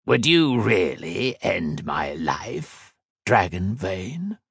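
A man doing a slow, husky, mocking creature. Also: deep voice, sing-song, a bit bright, dry recording, exaggerated voice. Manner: slow, drawn-out, silky menace. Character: sinister hooded creature, cool and mocking. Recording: dry close studio read.

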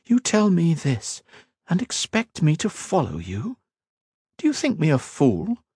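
A man voicing a proud, wary queen who speaks in a haughty, cutting, measured way. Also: a little high, wildly swooping pitch, a little mellow, husky, no echo, studio quality, voice pushed up, heavily acted.

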